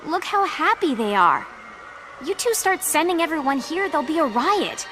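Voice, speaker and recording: A woman's voice: high voice, very animated, moderate pace, over music. A woman playing a brash, impatient, sarcastic young adventurer in a fantasy audio drama, with blunt, sassy delivery.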